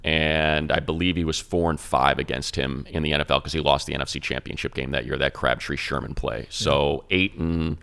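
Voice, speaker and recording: A man's voice: extremely low pitch, steady tone, a little fast, vocal fry, hardly any pauses, a bit bright. A man who is a radio play-by-play announcer, chatting on a sports talk show, breaking down plays in long rambling runs with many restarts.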